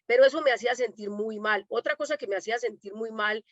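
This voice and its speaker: Lively Colombian woman telling her story. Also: fairly high pitch, fast, dry recording, dull audio. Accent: Colombian, from Medellín. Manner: animated storytelling, acts out quoted dialogue. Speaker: domestic worker activist, guest speaker. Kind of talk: conversational talk answering questions.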